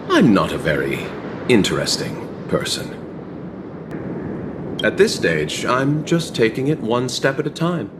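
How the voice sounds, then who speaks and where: A man playing a courteous, composed young travelling merchant in a video game, speaking in a polite, measured way. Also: mid-range voice, sing-song, background noise. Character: courteous, soft-spoken, unhurried young male travelling merchant. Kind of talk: video game cutscene dialogue.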